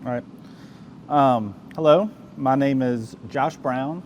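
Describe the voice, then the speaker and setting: A man's voice: medium pitch, slow pace, a little hiss or hum. A man in his forties, a staff member, speaking casually and teasing the others. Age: 40s. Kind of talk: casual interview.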